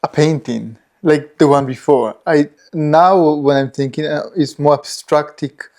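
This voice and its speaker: Halting interviewer, medium pitch. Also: darkish tone. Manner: halting, searching for words. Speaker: interviewer host. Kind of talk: casual interview questions.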